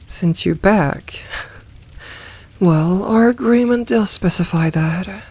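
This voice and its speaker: A woman plays a softly dominant succubus demoness in an intimate ASMR roleplay. She speaks very slowly at a middling pitch, soft and at times breathy. Her manner is possessive and teasing, yet soothing and coaxing. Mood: sly, inviting.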